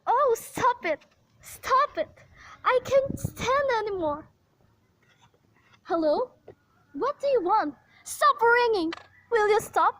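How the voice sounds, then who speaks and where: A woman's voice: very high voice, expressive, slow, long pauses, a bit bright, full character voice, low-quality recording. A woman playing a frantic, demanding and self-pitying invalid wife in an amateur radio play, speaking with agitated, pleading melodrama. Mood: hysterical, accusing.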